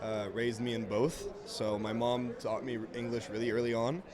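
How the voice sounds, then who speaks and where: A man's voice: medium pitch, flat delivery, normal speed. A young man from Quebec whose first language is French, speaking in a casual, earnest and somewhat hesitant way as a guest in a ballpark broadcast booth. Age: young adult. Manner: earnest, hesitant, restarts sentences. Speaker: guest player. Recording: loud crowd behind.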